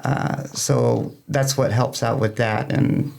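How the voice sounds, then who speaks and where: A man's voice: medium pitch, fairly even intonation, slow pace, gravelly, darkish tone. A man explaining things as a club member guest in an informal interview, plain-spoken, folksy and a little rambling.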